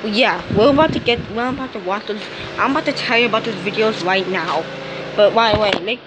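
A woman talking casually to viewers as a video maker, halting and repeating words, recorded at home. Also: mid-range voice. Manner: halting, repetitive. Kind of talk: casual vlog talk to viewers. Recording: noisy handheld home recording.

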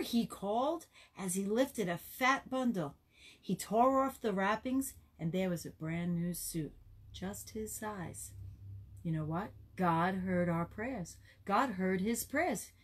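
A woman with a somewhat low-pitched voice reads a children's story aloud. Her delivery is conversational, with explanatory asides to young listeners and expression on the quoted dialogue. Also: breathy edge.

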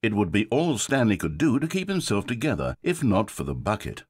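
A man's voice: lowish voice, wildly swooping pitch, brisk, husky, no room sound, heavily acted. An actor voicing a sardonic, self-important male video game narrator, telling the story in a dry, sarcastic, mock-grand way.

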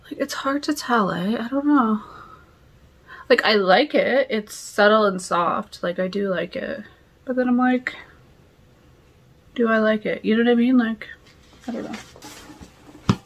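A woman's voice: on the high side, measured pace, pauses often. A woman in her thirties chatting casually as a nail vlogger, rambling and questioning herself as she thinks aloud. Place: home nail studio room. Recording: clear background noise with clicks.

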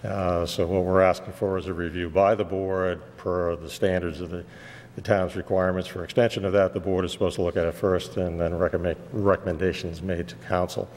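A man with a cold, losing his voice, presenting a technical site plan to a planning board in a measured way with many "uh" fillers. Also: low voice, level tone, darkish tone, long reverb tail. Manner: measured and explanatory, with frequent "uh" fillers. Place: town meeting room.

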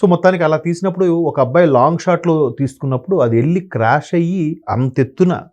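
Hesitant man with a mellow voice. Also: on the high side. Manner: hesitant, many fillers and word-searching pauses. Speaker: analyst guest. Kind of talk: conversational commentary.

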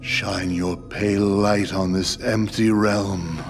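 A man's voice: lowish voice, steady tone, takes it slowly, over music. A man voicing a cold, grandiose cult-leader villain in a video game, speaking in a grave, incantatory manner. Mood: solemn, reverent. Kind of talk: video game cutscene acting.

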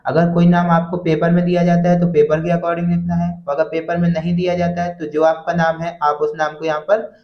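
A man's voice: fairly high pitch, level tone. Indian man teaching, echoey muffled recording. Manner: reads English phrases slowly, then explains them; repeats a check-in phrase to students. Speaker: coaching teacher. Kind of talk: instructional lesson for students. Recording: dull, roomy echoing sound.

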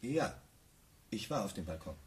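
An actor playing a disoriented, evasively polite husband in a radio play, speaking hesitantly and questioningly. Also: male voice, on the low side, sing-song, normal speed.

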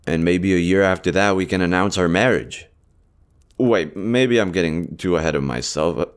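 A man voicing a gentle, smitten army general in a romantic roleplay audio, tender, earnest and a little hesitant. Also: low-pitched, normal speed, smooth, close to an everyday voice. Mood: cautious, affectionate. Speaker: voice actor.

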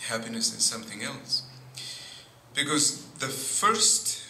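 A middle-aged priest preaches from a pulpit at a very slow, measured pace. His voice is sharp and bright. The boom mic catches the echo of the church and a faint hiss. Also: very animated. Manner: slow, deliberate preaching with pauses and frequent "see". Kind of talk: sermon. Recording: echoey church with faint noise.

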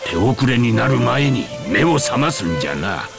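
A man's voice: mid-range voice, very animated, normal speed, creaky, music in the background. A man playing a scornful, scolding old man who uses old-fashioned turns of phrase in a Japanese video game. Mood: stern, warning. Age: older. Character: scornful, gruff old man with archaic speech.

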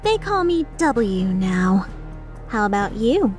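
A woman's voice: medium pitch, big pitch swings, slow pace, darkish tone, faint music behind. A woman voicing a cocky female mercenary leader in a video game fan dub, playful, sarcastic and taunting with an edge of menace. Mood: teasing menace.

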